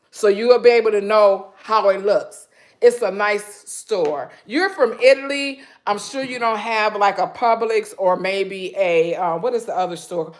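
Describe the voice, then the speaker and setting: A woman from Michigan hosting a casual live stream from her home kitchen, with a loud voice, rambling and chatty, full of ums as she talks straight to her viewers through a phone camera. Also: mid-range voice. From Michigan, USA.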